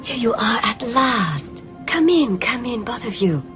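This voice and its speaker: An actress playing a gushing, over-polite nurse who acts as a genteel hostess in a radio drama. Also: female voice, fairly high pitch, sing-song, a little slow, over music. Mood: effusively welcoming.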